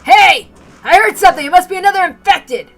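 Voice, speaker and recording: A woman voicing a frenzied, bloodthirsty young rioter shouting in a horror story reading. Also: very high-pitched, lively intonation, fairly quick, voice pushed up. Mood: frenzied rage. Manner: loud, shouting chant.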